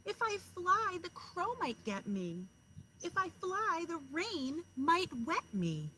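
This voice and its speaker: A woman's voice: very high voice, wildly swooping pitch, slow pace, bright, forceful tone, pitched above the performer's own voice, full character voice. A woman voicing a scared young female owl chick in a children's storybook, pleading and protesting. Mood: fearful. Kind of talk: children's storybook read-aloud. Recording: clear background noise, outdoors.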